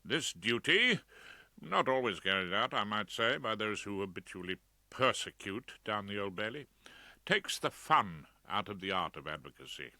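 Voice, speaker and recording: Very bright, forceful British man reading aloud. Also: on the low side, sing-song, moderate pace, no echo. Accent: British English. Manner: dry, wry, orotund storytelling. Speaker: audiobook reader. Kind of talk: audiobook narration of a first-person story.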